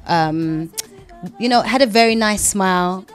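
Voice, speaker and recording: A young woman from London with a London accent, a singer telling stories in a casual interview, chatty and quick to laugh. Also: medium pitch, faint music behind. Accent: London English. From London, England. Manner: chatty storyteller, quick to laugh, talks through restarts.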